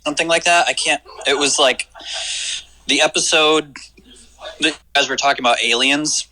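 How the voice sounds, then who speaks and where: A young man from Michigan calling in to a podcast, talking casually and candidly with halting restarts. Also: fairly high pitch, pushed and bright, slight background noise, rough recording. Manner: candid, self-deprecating, halting. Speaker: caller. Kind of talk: casual phone chat.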